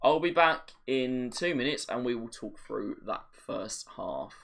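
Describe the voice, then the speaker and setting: Bright-voiced fan commentating live. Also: male voice, expressive, creaky, a little hiss or hum. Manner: partisan, rises sharply on chances, drops low when play slows. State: voice getting a little raspy. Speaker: fan hosting a live match watch-along. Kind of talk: excited live match commentary.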